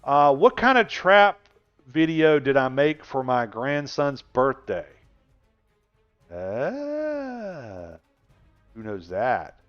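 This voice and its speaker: A man's voice: medium pitch, big pitch swings, extremely slow, long pauses, no echo, soft background music. A man in his sixties chatting casually on a gaming live stream, rambling and laughing often as he answers viewers. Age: 60s. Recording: dull lo-fi stream audio.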